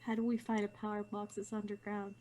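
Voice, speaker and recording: A woman's voice: medium pitch, completely flat delivery, a little fast, warm tone, a little hiss or hum. A woman playing a tabletop game, chatting casually in a playful, teasing way.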